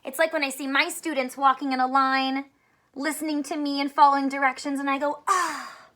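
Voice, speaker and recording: A woman's voice: high-pitched, level tone, fairly quick, a bit bright. A woman teacher reading a storybook aloud to young children, expressively, with questions and asides spoken straight to them. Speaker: elementary school teacher reading aloud to students.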